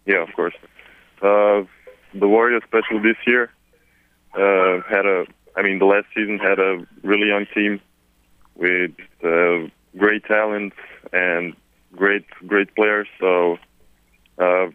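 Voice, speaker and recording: A young man from Serbia with a Serbian accent, answering reporters on a conference call, halting and repeating words with many fillers. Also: deep voice, little pitch movement, clear, resonant tone, dry recording. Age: 20s. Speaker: newly drafted basketball player. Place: at home, far from the press. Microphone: telephone. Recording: tinny dry phone line.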